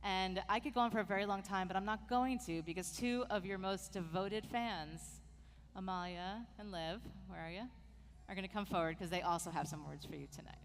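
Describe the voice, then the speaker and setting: A woman rabbi speaks from the pulpit in a tribute that sounds plainly heartfelt. Her voice sits at a middle pitch and carries a long reverb from the sanctuary, with a faint hiss beneath it. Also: fairly quick. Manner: warm, heartfelt address to an honoree. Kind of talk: sermon tribute to a departing staff member. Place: synagogue sanctuary.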